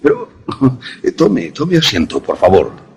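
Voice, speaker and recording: A man acts out an eccentric, proud scientist from a suspense radio play. His voice is lowish and very rough, with animated swings in pitch. He speaks formally and deliberately, like a courteous host explaining his creation. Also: full character voice.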